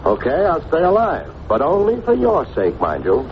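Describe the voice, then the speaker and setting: A man's voice: a little high, huge pitch swings, moderate pace. An actor playing a wry, slangy city private detective in a 1950s radio drama, wisecracking and clipped in his questions. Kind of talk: 1950s radio detective acting, first-person narration. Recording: noisy, muffled old radio recording.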